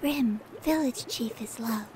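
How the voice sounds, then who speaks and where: A man voicing Rim, a small timid female helper creature, speaking in slow, broken, childlike phrases. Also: very high voice, noisy background, pitched above the performer's own voice. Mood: earnest.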